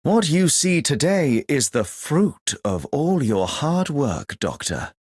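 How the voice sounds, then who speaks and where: A man voicing a devoted, refined gentleman in a video game, formal and admiring. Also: mid-range voice, no echo, clean studio sound. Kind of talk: video game character voice acting.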